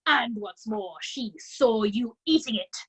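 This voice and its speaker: Woman doing a big bossy headmistress, barking, yelling, loud and high, a bit breathy. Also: slow pace. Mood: furious. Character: tyrannical bullying female headmistress, booming.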